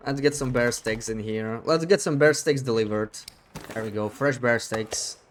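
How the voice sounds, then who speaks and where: A man streams a game and chats casually with his viewers. His voice is mid-pitched and easygoing. He thinks aloud into a desk mic and often restarts his sentences. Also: moderate pace, slight background noise. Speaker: live streamer. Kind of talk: casual gameplay chat, reading viewer comments.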